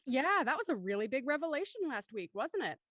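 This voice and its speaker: A woman's voice: high-pitched, big pitch swings, fairly quick, a bit bright, no room sound, studio quality. A woman hosting a live show with casual banter, playful and self-deprecating as she jokes around. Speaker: host.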